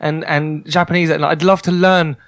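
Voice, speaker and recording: A man's voice: fairly high pitch, steady tone, moderate pace, dry recording. A man speaking as a guest on a comedy podcast, improvising in casual conversation. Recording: loud banjo music behind the voice.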